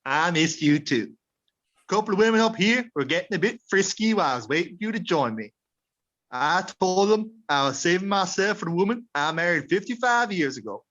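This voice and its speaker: A man playing an easygoing, affectionate old husband ghost, placating and gently teasing, on a muffled radio play recording. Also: high-pitched, expressive, brisk. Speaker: actor in an amateur radio play.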